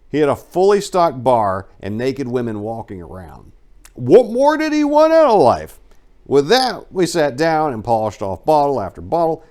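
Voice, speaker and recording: A man giving a dramatic first-person reading of a memoir, telling it in a wry, animated storyteller's way. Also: medium pitch. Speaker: audiobook narrator.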